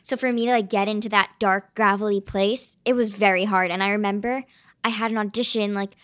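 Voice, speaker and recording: A teenage girl who acts on stage talks about her work in a relaxed interview. She sounds eager and chatty, with plenty of "um" and "like". Her voice sits at an ordinary middle pitch. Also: a little fast. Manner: eager, chatty, many fillers like "um" and "like". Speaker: young stage actress, guest. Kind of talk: casual interview chat.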